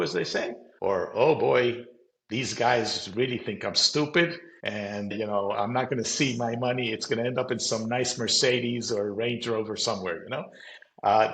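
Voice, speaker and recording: Middle-aged man, low voice, American accent, practical and emphatic interview guest, roomy sound. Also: a bit bright. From Colombia. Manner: practical, emphatic, measured explanations. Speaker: company founder, guest. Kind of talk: conversational interview.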